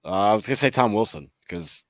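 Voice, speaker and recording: A man's voice: on the low side, animated, quick pace, no room sound, clean studio sound. A man co-hosting a sports podcast, chatting casually about hockey in a rambling, hesitant way, thinking aloud. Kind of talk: casual hockey fan chat.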